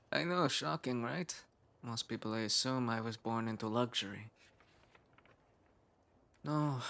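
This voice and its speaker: Male actor as frail, driven young scientist for an intimate ASMR roleplay; earnest, musing, measured, mid pitch. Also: a bit bright. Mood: reflective, defiant.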